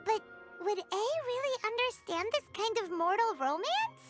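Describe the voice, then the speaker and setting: A woman's voice: very high-pitched, expressive, normal speed, a bit bright. A woman voicing a tiny, childlike, chirpy fairy companion in an anime-style video game, with cute exclamatory delivery. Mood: dramatic, emotional. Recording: game music playing behind.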